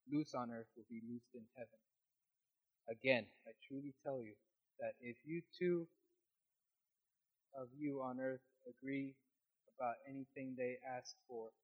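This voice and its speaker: A man with a small, quiet voice reading scripture aloud in a Bible study, steady with occasional restarts. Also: mid-range voice. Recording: dull lo-fi recording.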